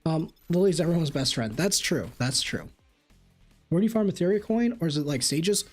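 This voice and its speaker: A man live-streaming a game, reading chat questions aloud and answering them in an animated way. His voice is slightly raspy. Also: a little high, moderate pace, soft background music.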